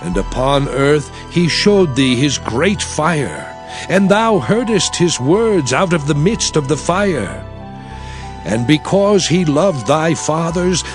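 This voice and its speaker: An actor playing Moses, a solemn prophet and leader, in grave, emphatic scripture oratory for an audio drama. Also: male voice, fairly high pitch. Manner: grave, emphatic, measured declaiming. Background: music.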